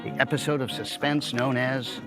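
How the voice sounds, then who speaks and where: A man's voice: mid-range voice, steady tone, moderate pace, loud music behind the voice. A middle-aged or older American man hosting with a conversational, wry, folksy scripted introduction. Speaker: host.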